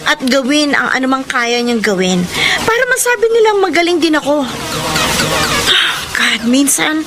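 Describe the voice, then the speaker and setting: A woman's voice: high-pitched, sing-song, unhurried. An actress playing an insecure, competitive young career woman, a resentful younger sister, in a radio drama. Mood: frustrated, weary. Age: young adult. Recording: noisy radio drama recording.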